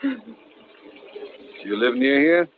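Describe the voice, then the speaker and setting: A tense, wary husband in a vintage radio thriller. His mid-pitched voice moves across a wide range. The recording is dull and clearly noisy. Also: huge pitch swings, slow. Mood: wary questioning. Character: tense husband warily guarding his car. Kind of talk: 1940s-50s radio thriller acting.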